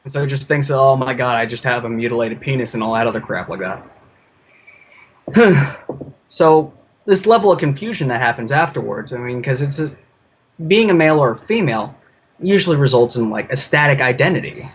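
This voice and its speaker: A man's voice: mid-range voice, lively intonation, brisk, slight background noise. A man talking casually as a podcast guest, in an informal, rambling way. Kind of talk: casual chat.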